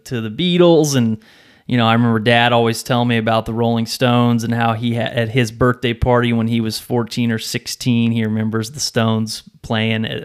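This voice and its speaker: A man's voice: medium pitch, clean tone. Casual male podcast host with an everyday voice. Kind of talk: casual chat.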